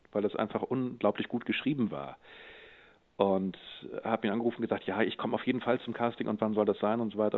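Low-voiced man on a phone-like line. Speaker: interviewed voice actor. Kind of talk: conversational interview answers, anecdotal.

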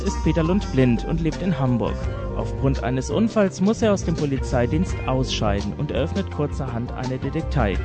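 A man presenting a podcast as its host in a scripted, introductory style. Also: medium pitch.

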